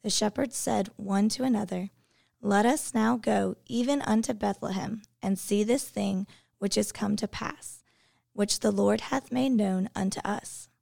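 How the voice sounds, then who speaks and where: Creaky-voiced woman giving a devotion. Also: medium pitch, a little slow, a little mellow, no echo, clean studio sound. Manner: earnest, reflective, storytelling. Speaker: devotion speaker, English teacher. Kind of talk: personal devotional reflection.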